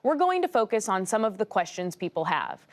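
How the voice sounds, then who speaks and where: A woman news correspondent presenting a report in a crisp, expressive delivery. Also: mid-range voice, fairly quick.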